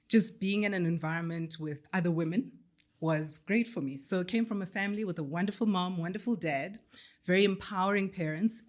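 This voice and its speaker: A woman from Zimbabwe, a lawyer, speaking as a guest in a relaxed podcast interview; composed and quick to laugh. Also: on the low side. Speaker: guest, forensics and investigations lawyer. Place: studio in Johannesburg.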